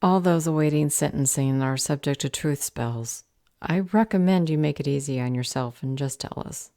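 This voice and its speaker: Low-voiced, officious woman as a government clerk. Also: moderate pace, dark tone, no echo. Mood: stern. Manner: officious, matter-of-fact, dry. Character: brisk, unflappable female magical government clerk. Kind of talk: audio roleplay acting.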